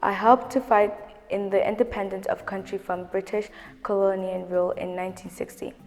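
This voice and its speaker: A woman's voice: medium pitch, flat delivery, moderate pace, darkish tone, in a large hall, faint music behind, close to an everyday voice. A young performer voicing a proud older woman activist, reciting her story in the first person. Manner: recited, declamatory. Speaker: child performer in a school show.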